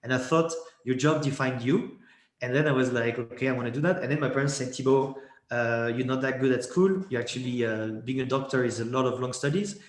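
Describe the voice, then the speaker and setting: A man's voice: medium pitch, a little fast. A young man, a guest in a casual interview, talking in a rambling way with many restarts and fillers. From Switzerland. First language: French. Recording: echoey room.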